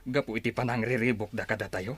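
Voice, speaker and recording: Careful middle aged dad, tribe leader, radio drama, medium pitch, reassuring and concerned. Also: male voice, fairly even intonation, fast, a bit bright, husky. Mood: cautious concern.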